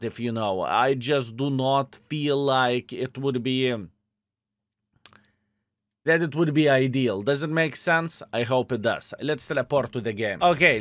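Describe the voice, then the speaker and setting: A man's voice: mid-range voice, slow pace, a bit bright, no room sound. Lithuanian-accented man, chatty game commentary. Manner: chatty, exclamatory, addresses viewers as fellas. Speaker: gaming video host. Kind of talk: casual gameplay commentary.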